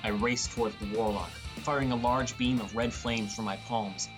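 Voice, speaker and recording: Male audiobook narrator over soft music. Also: mid-range voice, fairly even intonation, moderate pace. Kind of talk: scripted first-person story narration.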